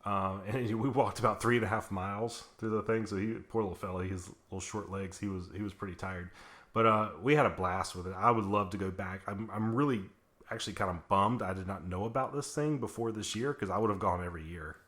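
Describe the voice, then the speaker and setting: Guy hosting a podcast, voice kinda shot and raspy, lower pitch, lots of uh and um. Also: fairly quick, fairly bright tone. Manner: rambling, many fillers and restarts. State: slightly hoarse, raspy voice. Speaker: podcast host. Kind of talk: casual chat.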